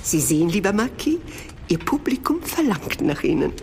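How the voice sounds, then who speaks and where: An actress playing a gracious, playful princess in a German audio drama, genteel, coaxing and effusive. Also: female voice, fairly low pitch, sing-song, moderate pace, vocal fry, noisy background. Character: gracious, playful princess hosting an evening salon.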